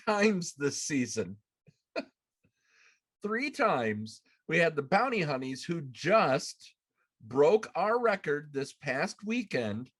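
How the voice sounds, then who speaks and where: A man in his thirties hosting a podcast, chatting casually on a live show from a room at home. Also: high voice. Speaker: podcast host. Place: small room at home, indoors.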